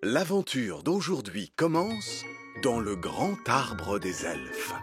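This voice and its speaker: A man narrating a French children's cartoon in a measured storytelling manner. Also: medium pitch, wildly swooping pitch, over music. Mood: inviting.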